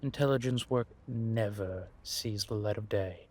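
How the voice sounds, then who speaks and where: A man playing a world-weary, wry and menacing vampire intelligence operative in an audio roleplay, confiding in a measured, drawn-out way. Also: on the low side. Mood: weary, brooding. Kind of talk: roleplay audio drama monologue addressed to a listener.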